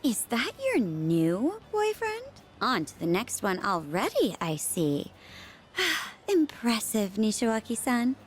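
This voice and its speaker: Catty teen girl, high voice, exaggerated swoops, mocking, sarcastic, video game. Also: wildly swooping pitch, slow pace, faint music behind, heavily acted. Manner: sarcastic, taunting. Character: snide, mocking teenage mean girl. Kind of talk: video game character dialogue.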